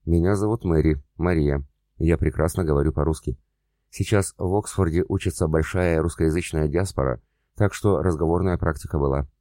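Man voicing a flirty fake heiress, languid, very deep dark voice, dry audiobook sound. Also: moderate pace, no echo. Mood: coy.

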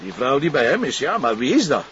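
An actor playing a blunt, sarcastic middle-aged Flemish police commissioner in a radio crime drama. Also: male voice, mid-range voice, huge pitch swings, fairly quick, a bit bright, lo-fi sound, a little hiss or hum. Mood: exasperated. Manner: sardonic, blunt, impatient.

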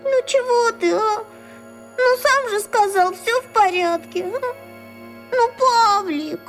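A very high, childlike voice of an anxious schoolgirl, plaintive and apologetic. It is children's radio drama acting with music behind it. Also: slow, fairly bright tone, exaggerated voice. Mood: pleading. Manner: plaintive, whimpering.

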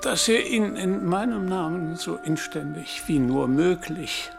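An actor playing a dying old knight in a radio play, gentle and repentant, speaking in solemn, formal phrases. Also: male voice, high-pitched, gravelly, music in the background. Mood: calm, resigned. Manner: solemn, measured, formal delivery. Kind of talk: literary radio play acting.

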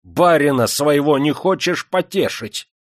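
Storybook tyrant played by a male reader: a jeering, imperious factory boss, raised and forceful, barking orders. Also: fairly high pitch, measured pace, dry recording, clean studio sound, pitched above the performer's own voice, close to an everyday voice. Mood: mocking command. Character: despotic, jeering Russian factory owner, hot-tempered bully.

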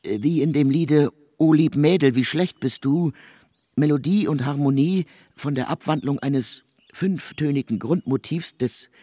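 An actor playing an intense, exacting middle-aged composer in a literary radio play, speaking emphatically and precisely. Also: male voice, a little high, a little slow, mellow, slightly rough, dry recording. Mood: fervent, exacting.

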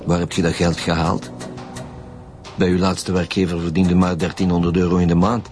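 A man's voice: sits low, little pitch movement, moderate pace, darkish tone, faint music behind, lightly acted. A man playing a brusque Flemish police inspector. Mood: pressing. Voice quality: brusque, pressing. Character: stern head inspector leading interrogations. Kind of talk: radio drama acting.

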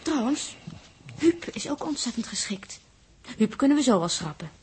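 An actress playing a kind, fussing, trusting young spaceship crew member in a 1960s radio drama, girlish and breathy, chatting with soft exclamations. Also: on the high side, sing-song, normal speed, exaggerated voice. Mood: defensive, warm.

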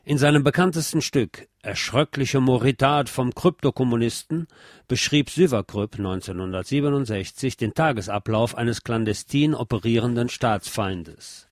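A man narrating a scripted cultural history feature in a measured, formal and literate manner. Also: medium pitch, no echo, studio quality.

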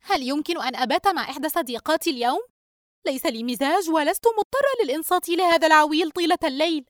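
A woman acts out an anxious young sister in an audio drama, high and very lively in pitch. She grumbles and pleads in a whiny, fretful way. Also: fast, no room sound, studio quality. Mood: grumbling. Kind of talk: dramatised audio story dialogue.

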